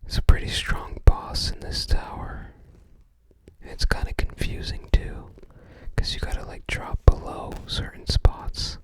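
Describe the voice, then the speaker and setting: Asmr host whispering real slow n breathy, kinda musing as they go. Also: low-quality recording. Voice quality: soft breathy whisper, unvoiced. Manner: unhurried, musing, tentative recall. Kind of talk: ASMR, unscripted whispered commentary while browsing a game guide book.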